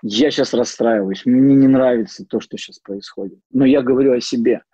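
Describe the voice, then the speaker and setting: A middle-aged man giving an informal lecture as a psychologist, mixing in personal stories and pausing often on fillers and restarts. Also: mid-range voice, mellow, husky, dry recording. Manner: hesitant, many fillers and restarts. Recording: dull lo-fi dry sound.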